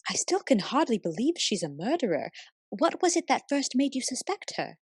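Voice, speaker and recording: A woman playing a loyal, admiring assistant to a lady detective, narrating a period radio mystery in formal, expressive storytelling. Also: high-pitched, normal speed, slightly rough, dry recording, clean studio sound, heavily acted. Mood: warm curiosity.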